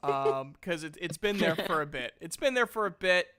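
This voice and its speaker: A man running a tabletop game as game master, improvising descriptions haltingly as he searches for words. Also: a little high, lively intonation, bright, forceful tone, clean tone.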